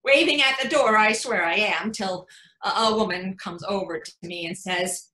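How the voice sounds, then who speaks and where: A woman's voice: mid-range voice, moderate pace, natural voice. A woman playing a devoted, emotional mother who tells her story in an emphatic staged reading, acting out what others say. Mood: wistful, emotional. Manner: animated, emphatic storytelling with acted-out quotes. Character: devoted, emotional mother of young children narrating. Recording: echoey room.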